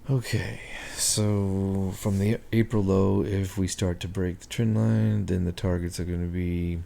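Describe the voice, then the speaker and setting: A man walking through market charts on a screen share, thinking aloud with many ums and a hoarse voice. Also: low-pitched, level tone, unhurried, warm tone. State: hoarse, clearing his throat. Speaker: market analyst.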